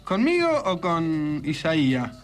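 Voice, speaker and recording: A man's voice: a little high, wildly swooping pitch, slow, faint music behind. A man with a Buenos Aires Argentine accent, co-hosting comedy radio and bantering in a mock-protesting way. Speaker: radio co-host.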